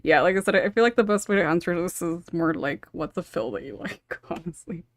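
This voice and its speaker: A woman's voice: on the low side, fairly quick, gravelly, no echo. Apologetic woman hosting, close and dry. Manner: apologetic, reassuring, giggly. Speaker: podcast host and moderator. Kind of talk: casual panel moderation.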